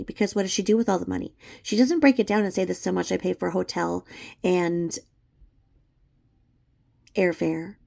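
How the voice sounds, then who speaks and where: A woman's voice: fairly low pitch, vocal fry, dark tone. A woman giving unscripted, scornful and emphatic opinion commentary as the host of her own channel.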